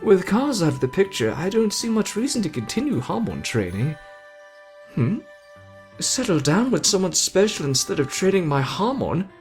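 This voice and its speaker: A brash young hero acted out by a man speaks high and animated, with playful drama. It is an ASMR roleplay aimed straight at the listener, with music behind the voice. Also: moderate pace. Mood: surprised, curious.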